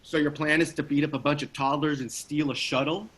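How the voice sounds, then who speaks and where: A man's voice: fairly high pitch, fairly even intonation, a little fast, fairly bright tone, slightly rough. Brief: skeptical young man, incredulous, male actor.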